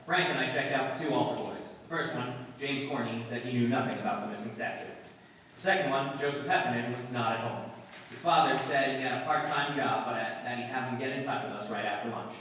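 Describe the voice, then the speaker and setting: A man playing a terse, dry, matter-of-fact police sergeant in 1950s radio drama style, with clipped deadpan delivery. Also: mid-range voice, brisk, a little hiss or hum, close to an everyday voice. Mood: flat, official. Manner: clipped, deadpan questioning and reporting. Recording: very echoey hall, distant mic, music.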